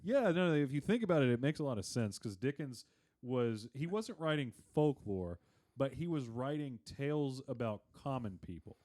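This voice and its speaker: Male podcast co-host, mid-pitched. Explains at length in loose, joking chat, with casual swearing. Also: expressive, normal speed, a little mellow. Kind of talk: casual chat, comedic banter.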